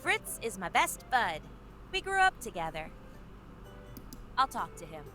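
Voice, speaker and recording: A woman's voice: medium pitch, sing-song, a little slow, bright, forceful tone. An actress voicing a cheerful young woman adventurer in a game, talking in a friendly, chatty way. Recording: game audio with faint music.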